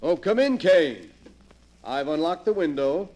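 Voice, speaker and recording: Slow, cunning gentleman schemer. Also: a little high, very animated, slight background noise. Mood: falsely welcoming. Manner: smooth, condescending, unhurried. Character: cold, cunning gentleman schemer, suavely soothing his victims. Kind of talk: 1940s radio mystery melodrama acting. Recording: 1940s radio broadcast recording.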